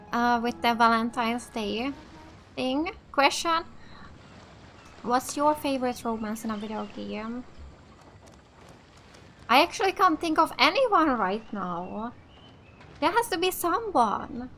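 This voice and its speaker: A female gamer from Finland with as her language. Her voice is high and bright, and she muses slowly and casually through her gameplay with music behind. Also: animated. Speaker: gamer, let's play host. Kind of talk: casual let's play commentary.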